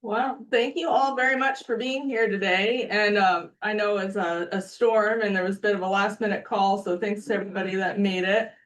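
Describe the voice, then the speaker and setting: A woman leading off a breakfast panel as executive director, earnest and upbeat, with the odd um. Her pitch sits in the middle, and she sounds set back from the microphone in a meeting room. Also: fairly even intonation, normal speed, few pauses, recorded from a distance. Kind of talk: opening remarks at a panel breakfast.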